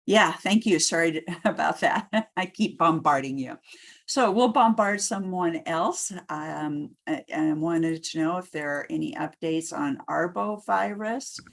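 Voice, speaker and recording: A woman hosting a conference call in an informal, halting way. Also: sits low, gravelly. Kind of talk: informal meeting moderation.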